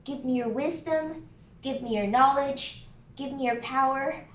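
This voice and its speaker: A woman voicing an energetic, indecisive fourteen-year-old witch at an animation table read, earnest and dramatic. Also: high-pitched, a little slow. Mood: solemn, pleading. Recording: roomy, distant mic, background noise.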